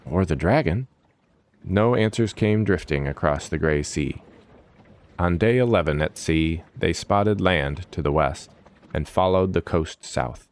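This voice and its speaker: Deep-voiced man narrating dramatic fantasy. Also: measured pace, smooth.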